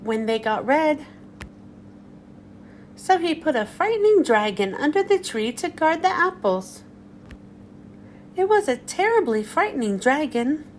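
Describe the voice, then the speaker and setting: A woman reading a children's picture book aloud in a slow, gentle storytelling manner. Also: high-pitched, a little hiss or hum. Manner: slow, gentle storytelling, lively rise and fall.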